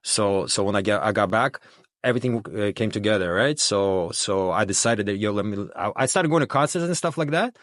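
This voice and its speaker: A man's voice: lowish voice, expressive, a little fast, fairly bright tone, no echo, clean studio sound. A man, originally from Russia, talking casually as a guest with lots of restarts and filler phrases. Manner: rambling, many restarts and "you know what I'm saying". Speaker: guest, documentary filmmaker. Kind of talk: casual interview talk.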